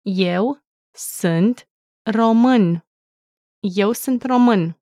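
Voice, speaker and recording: A woman teaching a language, saying each word slowly and clearly twice in a scripted lesson. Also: mid-range voice, warm tone, dry recording, studio quality. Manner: slow careful pronunciation with repeats. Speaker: native-speaker language teacher.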